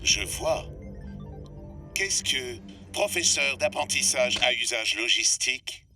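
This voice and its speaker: A man voicing a naive, literal-minded male learning robot in a cartoon, speaking in deliberate questions. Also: mid-range voice, expressive, bright, forceful tone, soft background music, exaggerated voice. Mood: blank curiosity. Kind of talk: animated sports series dub.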